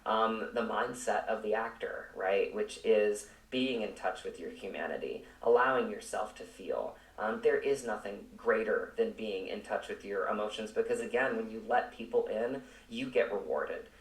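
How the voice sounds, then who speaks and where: Male interview guest, lowish voice, earnest and searching, recorded away from the mic. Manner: earnest, halting, repeats words while searching for phrasing. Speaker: actor, podcast guest. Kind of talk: reflective interview talk. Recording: roomy, echoing, distant from mic.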